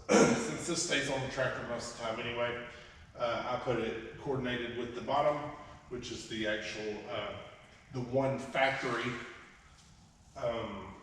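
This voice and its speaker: A man's voice: medium pitch, fairly bright tone, slight background noise. A man, getting over a head cold, hosting a casual farm how-to vlog outdoors and rambling with many fillers. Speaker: farm vlogger. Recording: distant, echoey outdoor camera audio.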